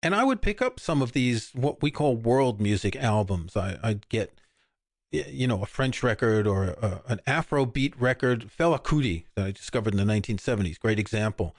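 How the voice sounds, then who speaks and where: A man's voice: medium pitch, normal speed, no room sound, studio quality. An older man, co-hosting a podcast, chatting casually about music with frequent restarts. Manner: relaxed, rambling, many restarts. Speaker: podcast co-host. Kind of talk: casual chat.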